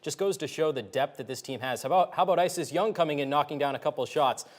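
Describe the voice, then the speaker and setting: A man's voice: fairly high pitch, fast talker. A man giving conversational commentary as an analyst on a sports broadcast.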